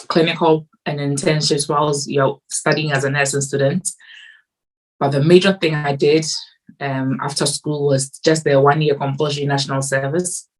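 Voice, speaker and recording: Low-voiced Ghanaian-born nurse explaining. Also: female voice, poor audio. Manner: measured, explanatory, frequent ums. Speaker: guest, nurse. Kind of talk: interview answers.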